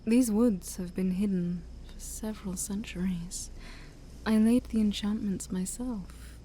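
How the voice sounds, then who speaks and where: Woman playing a dark, breathy, ancient demon princess. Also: medium pitch, a little hiss or hum, natural voice, low-quality recording. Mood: imperious. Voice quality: breathy, often near-whispered. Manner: slow, teasing, haughty, theatrical. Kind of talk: soft-spoken ASMR roleplay.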